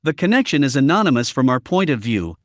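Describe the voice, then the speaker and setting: A man's voice: medium pitch, very animated, quick pace, no room sound, clean studio sound. A man answering as an interview guest, explaining in formal, prepared-sounding sentences. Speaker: interview guest, media analytics company representative.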